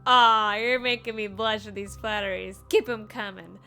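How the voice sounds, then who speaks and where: A woman's voice: medium pitch, fairly even intonation, moderate pace, sharp tone, soft background music. A woman streaming a game, reacting and reading along in a playful, jokey way. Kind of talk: playful reactions and dialogue read-along while gaming.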